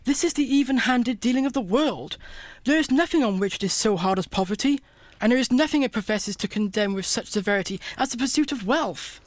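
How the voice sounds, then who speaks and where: A man's voice: extremely high pitch, expressive, brisk. A man playing an anxious old miser, earnest and plaintive, in a radio play.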